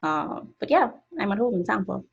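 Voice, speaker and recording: A woman's voice: medium pitch, animated, fairly quick, creaky, breathy edge. A woman in her twenties from Trinidad and Tobago, giving an earnest, explanatory talk about her work over a video call from her home studio. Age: 20s. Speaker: guest artist. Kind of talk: presentation talk. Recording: dull lo-fi call audio.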